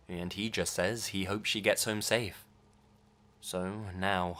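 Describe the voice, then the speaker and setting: Low-voiced male horror story narrator. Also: fairly bright tone.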